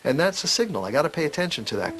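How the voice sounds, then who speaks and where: A man speaking in a documentary interview, thoughtful and pausing with fillers as he explains. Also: fairly high pitch, big pitch swings. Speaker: interviewed educator. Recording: music underneath.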